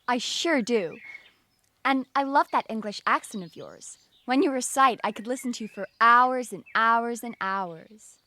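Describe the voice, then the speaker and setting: A woman playing an outspoken, warm-hearted young American wartime worker in an audio drama, chatty and emphatic. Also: on the high side, animated, unhurried. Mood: eager, friendly.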